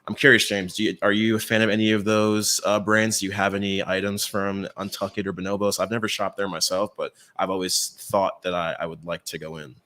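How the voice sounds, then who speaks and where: A man's voice: low voice, flat delivery, brisk, hardly any pauses, sharp tone. A man co-hosting a talk, explaining trends in a casual, chatty way and often checking in with the listener. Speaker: co-host presenting retail trends. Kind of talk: casual conversational presentation.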